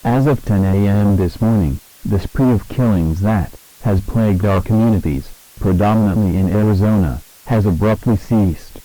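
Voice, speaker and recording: A man reading a scripted emergency broadcast as an announcer, in a formal, grave and measured manner. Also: fairly low pitch, dark tone, no echo, rough recording. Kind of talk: scripted emergency alert narration.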